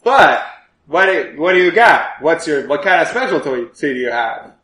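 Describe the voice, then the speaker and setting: A man voicing a blunt, needling crime boss with curt demands and deadpan teasing in improvised tabletop roleplay. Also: fairly high pitch, moderate pace, echoey room.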